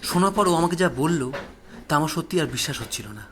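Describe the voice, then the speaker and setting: A male storyteller with a slightly high voice narrates horror in the first person. His phrasing is deliberate and suspenseful, dramatic in style, set over clear train-like ambient noise. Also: lively intonation.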